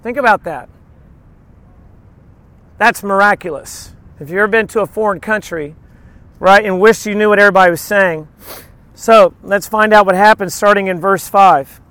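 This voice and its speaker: High-voiced man preaching conversationally. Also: normal speed, pauses often, clean tone, no room sound. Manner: informal, animated storytelling with acted-out quotes. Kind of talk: conversational sermon with personal stories and scripture teaching.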